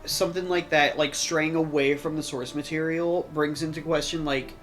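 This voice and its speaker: Higher-pitched man in casual podcast chat. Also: fairly even intonation, moderate pace, a bit bright. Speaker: podcast co-host.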